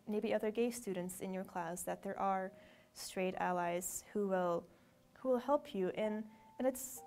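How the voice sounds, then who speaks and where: A woman's voice: medium pitch, a little mellow. A woman in her twenties from Belgium, speaking an earnest personal message to the camera in an empty lecture hall, with many fillers and restarts. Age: 20s. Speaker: university graduate sharing a message. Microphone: camera framed close, seated.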